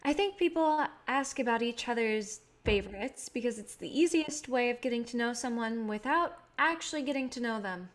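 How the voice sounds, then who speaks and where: Actress as wry guarded filmmaker woman, idealistic, kinda high voice, natural stage drama reading. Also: normal speed, hardly any pauses, natural voice. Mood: wry, deflecting. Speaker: actor in a staged play reading.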